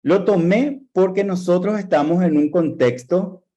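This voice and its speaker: A man with a Paraguayan Spanish accent speaks in a somewhat raised, mellow tone. He gives an earnest talk to a group of teachers, using rhetorical questions and steady emphasis. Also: sits high, measured pace. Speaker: lecturer.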